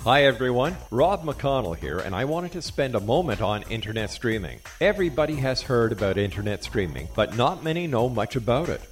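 Man, radio host, animated and salesy ad reads over a clear music bed. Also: a little fast, few pauses. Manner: upbeat, salesy, with a lot of swing in pitch. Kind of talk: scripted ad read.

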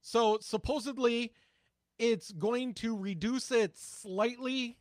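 A man co-hosting a political talk podcast in a high, fairly light voice. He speaks bluntly and mocks what he hears, swearing freely. The recording is dry and close. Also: takes it slowly, no room sound. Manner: blunt, profane, sarcastic commentary. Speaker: podcast co-host. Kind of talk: casual political chat.

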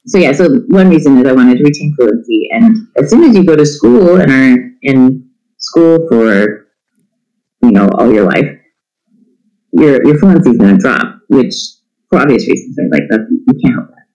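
A man's voice: medium pitch, expressive, normal speed, dark tone, highs cut off. A middle-aged man, chatting casually as an interview guest in a candid, irreverent, self-deprecating way. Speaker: interview guest, homeschooling parent. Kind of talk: casual chat.

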